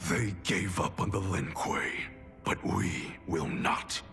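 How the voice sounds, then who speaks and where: A man's voice: fairly bright tone, exaggerated voice. An actor playing a stern, ruthless male clan grandmaster who speaks in a cold, commanding way. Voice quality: gravelly, low and measured. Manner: cold, authoritative. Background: cinematic music.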